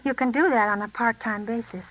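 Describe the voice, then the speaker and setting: An actress playing an earnest pilot's wife in a 1940s radio drama, narrating a letter intimately and reflectively. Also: female voice, fairly high pitch, fairly quick, heavy background noise, lightly acted. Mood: matter-of-fact. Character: earnest wartime pilot's wife writing to her husband. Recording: old 1940s radio recording with music.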